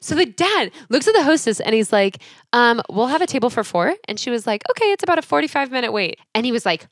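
Young woman, podcast co-host, animated storyteller, dry close sound. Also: a little high, fast talker, dry recording. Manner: animated, acts out quoted people, lots of "like". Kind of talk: casual storytelling chat.

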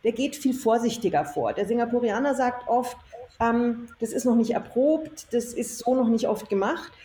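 A woman with a fairly high yet mellow voice talks as an interview guest. She is enthusiastic and emphatic in her conversational storytelling. The room is noticeably echoey. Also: expressive, normal speed. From Germany. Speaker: interview guest, self-employed former marketing executive. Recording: echoey room, faint noise.

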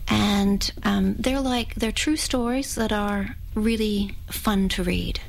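A woman's voice: mid-range voice, steady tone, slow, gravelly, poor audio. A Canadian woman in her thirties with a mixed accent, talking about her writing in a radio studio interview, thoughtful and friendly. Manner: thoughtful, restarts and fillers, friendly. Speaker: writer being interviewed.

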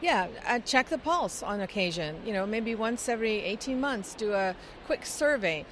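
A woman's voice: a little high, expressive, normal speed, a bit bright, a little hiss or hum. A middle-aged woman from Scotland, giving explanatory interview answers with frequent fillers. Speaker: interviewee, chorus singer.

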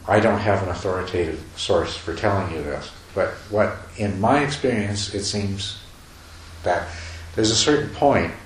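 A man giving a meditation talk to a retreat group, talking loosely with many restarts and soft chuckles. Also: deep voice, moderate pace. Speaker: meditation teacher. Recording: echoey room, faint noise.

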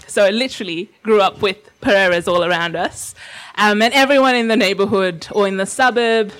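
A woman's voice: medium pitch, a bit bright. A young woman from Sri Lanka telling a personal story to an audience in a reflective, conversational way, with frequent fillers and small laughs. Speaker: invited speaker.

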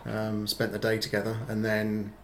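Rambling man with a gravelly voice. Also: lowish voice, completely flat delivery, moderate pace, a little hiss or hum. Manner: rambling, self-deprecating storyteller with many fillers. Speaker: podcast co-host. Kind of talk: casual chat.

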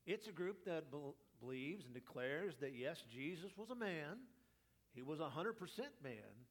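A male pastor preaching a teaching sermon, his voice slightly raspy today, leading the congregation through scripture. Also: fairly high pitch, lively intonation, normal speed. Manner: teaching, leads congregation in reading.

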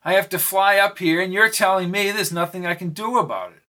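A man's voice: high-pitched, fairly quick, bright, forceful tone, pitched above the performer's own voice. A man voicing an irritated middle-aged male government official who blusters and complains. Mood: exasperated. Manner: blustering and exasperated.

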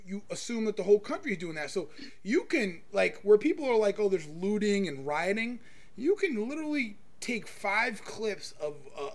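A man hosting a podcast, talking casually and emphatically about his opinions, with plenty of swearing. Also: sits high. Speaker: podcast host.

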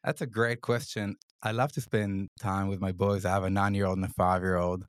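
A middle-aged man answers interview questions in a low, flat, matter-of-fact voice. He pauses often on "uh" and "um". The recording is dry and close. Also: no echo. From Israel. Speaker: venture fund CEO, guest.

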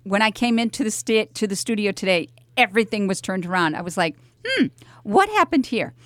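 A woman's voice: medium pitch, lively intonation, brisk, no echo. A woman radio host chatting casually and informally with guests in the studio, encouraging them as she goes.

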